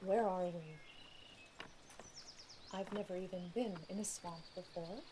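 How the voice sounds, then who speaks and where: A woman's voice: lowish voice, steady tone, a little slow, noisy background, lightly acted. An actress playing an uneasy, bewildered female patient in a radio drama, hesitant and questioning. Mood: wary, bewildered. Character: frightened, bewildered young female patient in dream therapy. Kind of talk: radio theatre acting.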